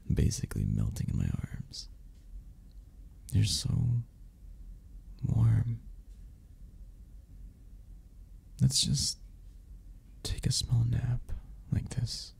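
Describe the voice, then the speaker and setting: A man playing a flirty, gentle cuddle club member in an intimate romantic ASMR roleplay, speaking softly with a breathy, gravelly voice that often drops toward a whisper. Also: mid-range voice, wildly swooping pitch, exaggerated voice. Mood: tender, hushed. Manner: soft, slow, teasing, with frequent chuckles.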